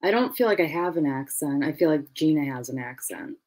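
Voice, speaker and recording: A woman's voice: deep voice, lively intonation, brisk, darkish tone, breathy edge. A woman in her thirties hosting a livestream channel from home, teasing and wry in casual chat over a webcam. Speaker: channel host. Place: room at home. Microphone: webcam on a video call.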